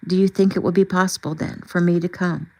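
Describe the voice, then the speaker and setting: A humble and grateful man of faith in a storybook, acted out by a woman reader. He talks politely and modestly, with quiet devotion. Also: on the low side, little pitch movement, brisk, natural voice. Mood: hopeful.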